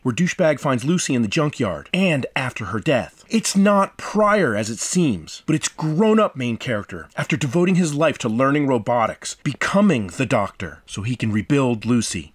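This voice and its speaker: A man hosting a game review, delivering scripted commentary in an impassioned, theatrical way that moves between profanity and sentiment. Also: medium pitch. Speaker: video host, game reviewer.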